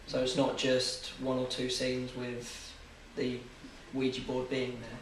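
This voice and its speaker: Teen male interviewee, flat even delivery, halting with fillers; recorded far off in an echoey room. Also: medium pitch, slight background noise. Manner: hesitant, filler-heavy. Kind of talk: casual answers.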